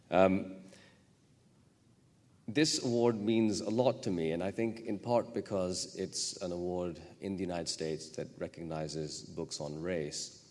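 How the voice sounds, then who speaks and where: A man from Pakistan, a novelist giving an unhurried, thoughtful acceptance speech at an award ceremony in a large hall. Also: sits low, a little mellow. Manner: thoughtful, unhurried, speaking off the cuff. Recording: echoey hall reverb.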